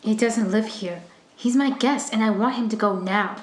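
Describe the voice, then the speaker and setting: A woman's voice: fairly high pitch, normal speed, lightly acted. Actress as exasperated woman, echoey room. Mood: desperate, insistent. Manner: firm, terse, indignant. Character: exasperated female host standing her ground. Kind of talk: radio thriller acting. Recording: echoey room, faint background noise.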